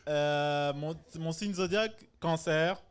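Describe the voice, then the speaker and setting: A man's voice: a little high, slow pace, clear, resonant tone. A man in his thirties, born in France and long settled in Quebec, chatting casually and playfully as a comedian guest. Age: 30s. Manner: playful, joking. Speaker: stand-up comedian, podcast guest. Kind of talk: casual chat.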